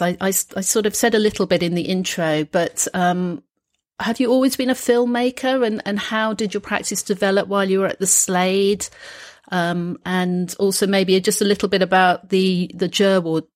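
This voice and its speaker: A woman hosting a panel interview, praising her guests and asking rambling questions with fillers. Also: medium pitch. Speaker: interview host.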